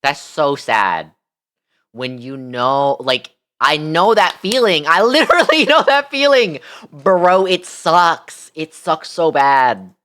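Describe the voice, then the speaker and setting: A woman's voice: sits low, animated, takes it slowly, a bit bright. A woman streamer giving casual reaction commentary in a blunt, sweary, sympathetic way.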